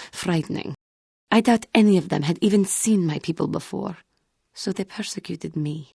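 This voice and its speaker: A low, dark female voice for a feline alien warrior monk in a video game. She is solemn and proud with old wounds underneath, choosing formal words in a guarded, earnest manner. The tone is husky and close. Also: no room sound, heavily acted. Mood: bitter, wounded. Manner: formal, measured, guarded, earnest, avoids contractions. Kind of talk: video game character dialogue.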